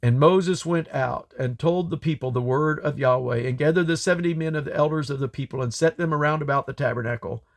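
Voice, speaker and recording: A man reading scripture aloud in a solemn, deliberate way, breaking the text into measured phrases. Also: on the high side, vocal fry. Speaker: Bible reader. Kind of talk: scripture reading, King James English.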